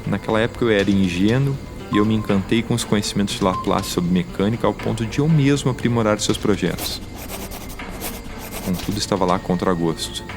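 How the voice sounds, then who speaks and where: An actor in an audio drama playing a male scientist with a mechanical arm, recounting his wartime past in formal, deliberate, wordy speech. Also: fairly low pitch, lightly acted. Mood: earnest recollection.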